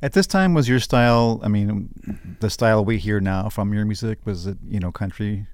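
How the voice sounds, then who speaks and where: Low-voiced man interviewer. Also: moderate pace, no echo, clean studio sound. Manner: brief affirmations, gentle questions. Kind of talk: interview questions and backchannels.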